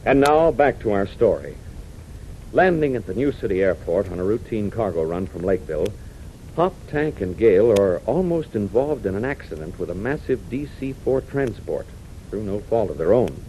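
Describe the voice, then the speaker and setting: American male announcer, dramatic 1940s kids' radio serial delivery, very lively intonation, dull old recording. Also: on the low side, moderate pace, slight background noise. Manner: excitable, dramatic, addressing young listeners. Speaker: announcer and narrator.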